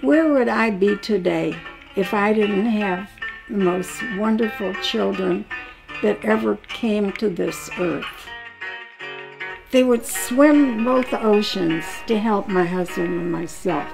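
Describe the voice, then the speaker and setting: A woman's voice: medium pitch, slow, creaky, music in the background, rough recording. An older woman speaking proudly and wryly about her children and long marriage in a home interview. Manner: warm, proud, gently wry. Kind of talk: reminiscing interview. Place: living room at home.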